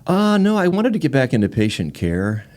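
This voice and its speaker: A man's voice: mid-range voice, huge pitch swings, moderate pace, a little mellow. A middle-aged man telling stories conversationally as a podcast guest, talkative and matter-of-fact. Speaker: podcast guest, former military physician assistant. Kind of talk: conversational storytelling.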